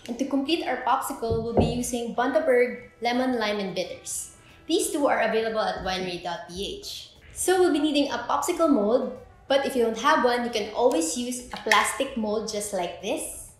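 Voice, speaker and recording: A woman's voice: a little high, moderate pace. Echoey young woman presenting a recipe. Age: 20s. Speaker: host of a drink recipe video. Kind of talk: scripted step-by-step tutorial presenting. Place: indoor kitchen with bare walls. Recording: echoey room with background music. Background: music.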